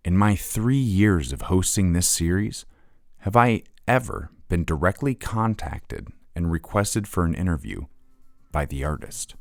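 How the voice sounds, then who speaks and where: An actor playing an earnest male rock podcast host, narrating in a scripted documentary style with dramatic, deliberate phrasing. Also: low voice, vocal fry, exaggerated voice.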